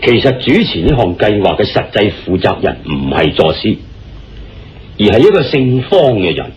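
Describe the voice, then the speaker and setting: An actor playing an earnest, deliberate man confiding state secrets in a radio drama, with a Hong Kong Cantonese accent and dramatic phrasing. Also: on the low side, sing-song, slight background noise. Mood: explanatory. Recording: dull, muffled radio-style recording. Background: background music.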